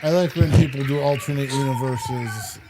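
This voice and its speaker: A man hosting a show, chatting casually and haltingly as he rambles through commentary on video clips. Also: medium pitch, very noisy. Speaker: show host.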